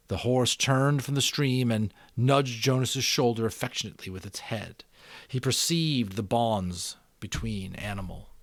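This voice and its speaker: A middle-aged man giving a dramatic reading of a novel, with deliberate emphasis and casual asides to his listeners. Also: mid-range voice, no echo, studio quality. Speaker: audiobook reader and channel host.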